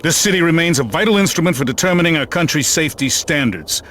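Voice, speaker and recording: A man playing a stern, formal military general, speaking in an official, commanding manner in an animated game cutscene. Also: a little high, fast talker, a little hiss or hum. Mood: official, firm.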